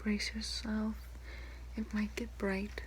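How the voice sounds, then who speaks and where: A young woman doing an ASMR doctor roleplay in a breathy whisper and hushed soft speech, politely clinical. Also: medium pitch, completely flat delivery, takes it slowly. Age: young adult. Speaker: ASMR performer playing a doctor. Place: small room indoors. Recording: noisy, hissy close-up home recording. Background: clear background noise.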